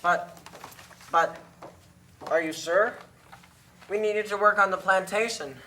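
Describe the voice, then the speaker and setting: Woman acting a bright-voiced, worried plantation father. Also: medium pitch, a little slow, far from the mic, slight background noise. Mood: worried, sad. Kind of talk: scripted puppet show acting.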